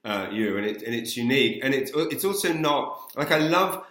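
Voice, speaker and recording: A man's voice: medium pitch, lively intonation, normal speed, a bit bright. A British man interviewing in a casual chat, effusive and often stammering over repeated words. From England. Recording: roomy, echoey sound.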